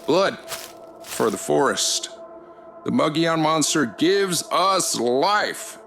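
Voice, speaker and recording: A man playing a menacing, solemn race official and cult zealot in a horror audio drama, speaking deliberately and ominously. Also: a little high, animated, creaky, a bit bright, soft background music, full character voice. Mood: solemn menace.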